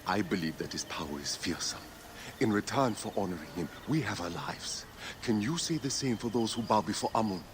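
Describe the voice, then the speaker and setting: A man's voice: on the low side, lively intonation, a little fast, noisy background, lightly acted. An actor playing a devout male sun-cult leader who chants hymns and speaks with solemn fervor in a video game. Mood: grave. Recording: game audio with loud score.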